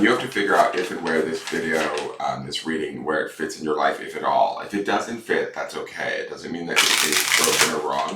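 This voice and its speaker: Stuffed-up guy with a deep voice doing a rambly tarot chat, loud noise behind, kinda distant. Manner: chatty, rambling, excitable about cards. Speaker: tarot reader. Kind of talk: casual chat to camera. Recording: echoey room from far off, loud noise.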